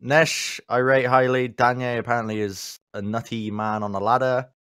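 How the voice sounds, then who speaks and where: Bright, playful male podcast host. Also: mid-range voice, little pitch movement, clear, resonant tone, dry recording, studio quality. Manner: joking, playful, rushes along. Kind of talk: casual gaming podcast chat.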